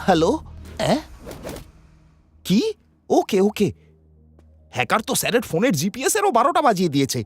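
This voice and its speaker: An urgent cartoon detective teammate. Her pitch swings widely and her words come very fast, with faint music behind. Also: very animated, breathy edge, dry recording. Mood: surprised. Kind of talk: animated cartoon dialogue.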